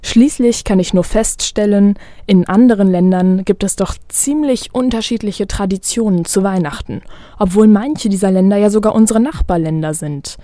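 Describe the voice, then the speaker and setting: A woman reads a radio feature script in a calm, measured way, each phrase clearly placed. Her voice is mellow and soft-edged rather than bright. The sound is dry and close, with almost no room around it. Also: mid-range voice, no echo. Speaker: radio reporter.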